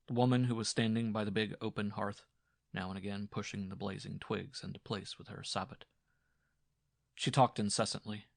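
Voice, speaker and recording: Low, gravelly male horror narrator. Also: no echo, clean studio sound. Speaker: audiobook narrator. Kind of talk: dramatic scripted horror narration.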